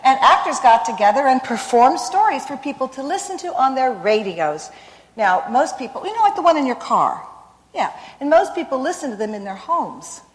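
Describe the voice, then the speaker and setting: A woman's voice: sits high, big pitch swings, normal speed. A woman acting as a mother who patiently explains a radio show to her daughter in a stage skit. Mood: explaining. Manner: explanatory, coaxing. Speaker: actress in a framing skit. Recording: long reverb in a hall.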